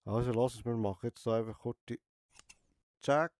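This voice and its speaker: A man's voice: medium pitch, fairly even intonation, normal speed, a little mellow, no echo, clean studio sound. Swiss German man with a cold. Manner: chatty, teasing, ends sentences with a questioning "hä?". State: has a cold. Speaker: gamer streaming a VR barber game. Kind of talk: playful in-character gameplay commentary.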